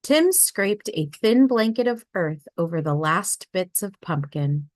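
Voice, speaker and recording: A woman reading a children's picture book aloud in an expressive storybook manner. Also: lowish voice, unhurried, no echo. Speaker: read-aloud narrator.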